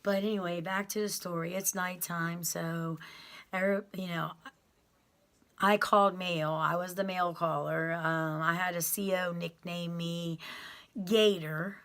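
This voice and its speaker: A woman's voice: lowish voice, steady tone, measured pace. A woman telling her prison story to viewers in a casual, rambling way, full of ums and restarts. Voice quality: loud voice that carries.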